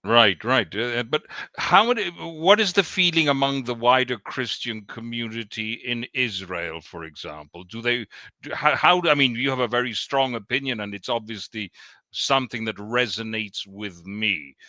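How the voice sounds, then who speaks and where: Bright, sharp-voiced man asking questions. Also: mid-range voice, expressive, moderate pace, no room sound. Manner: attentive, frequent "hmm" and "right" backchannels, rambling questions. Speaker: podcast host. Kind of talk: podcast interview.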